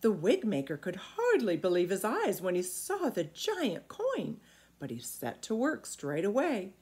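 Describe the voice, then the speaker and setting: A woman's voice: medium pitch, wildly swooping pitch, unhurried, darkish tone. A middle-aged woman reading a children's storybook aloud expressively, recorded in a room at home. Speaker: storybook reader. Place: small indoor room at home.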